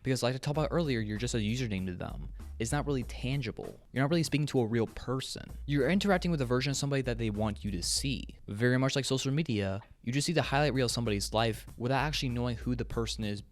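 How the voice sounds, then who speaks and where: A man's voice: mid-range voice, fast, hardly any pauses. A man delivering opinionated scripted commentary as a video host, bluntly, with casual slang and swearing.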